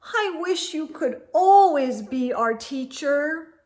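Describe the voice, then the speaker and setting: Lady playing a wistful little first grade boy, high voice, slow and earnest, storybook. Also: expressive, a little mellow.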